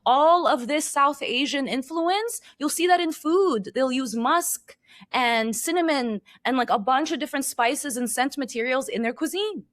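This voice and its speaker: Emphatic, higher-pitched woman guest. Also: moderate pace, no echo, clean studio sound. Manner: animated and emphatic, with lots of "like". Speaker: perfumer guest. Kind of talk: casual podcast conversation.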